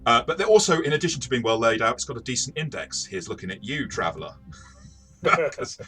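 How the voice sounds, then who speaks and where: A man co-hosting a casual game review discussion, talking in a rambling, halting way and often repeating words. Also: medium pitch, big pitch swings, bright, forceful tone, soft background music. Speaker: podcast host and game reviewer.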